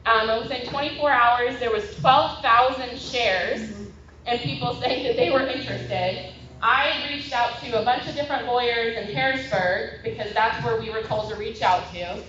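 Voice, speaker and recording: A woman's voice: a little high, normal speed, a little hiss or hum. A woman giving a chatty public talk to a meeting, full of fillers. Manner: chatty, many fillers, loud voice. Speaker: guest speaker. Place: meeting hall. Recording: echoey dull hall recording.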